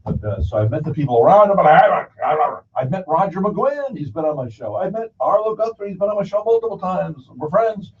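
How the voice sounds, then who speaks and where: A man's voice: high voice, sing-song, quick pace, hardly any pauses. A man hosting a broadcast, chatting casually in a folksy, rambling storytelling way and acting out what people said. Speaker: broadcast show host. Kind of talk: casual rambling anecdotal conversation. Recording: dull, distant mic, faint noise.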